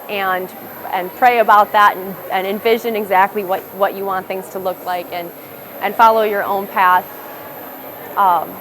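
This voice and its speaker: A woman's voice: medium pitch, a bit bright, rough recording. Young Midwestern woman, candid interview talk. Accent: Midwestern American. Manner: candid, reflective, self-correcting restarts. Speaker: rapper. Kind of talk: documentary interview. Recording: noticeable background noise.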